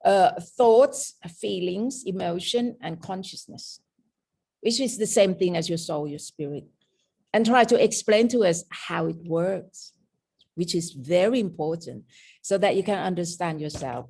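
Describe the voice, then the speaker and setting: Gravelly woman with Thai-British accent. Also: lowish voice, a little slow, a little mellow. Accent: Thai and British mix. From Thailand. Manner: explaining with frequent "okay?" checks. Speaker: meditation teacher. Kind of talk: lecture on meditation.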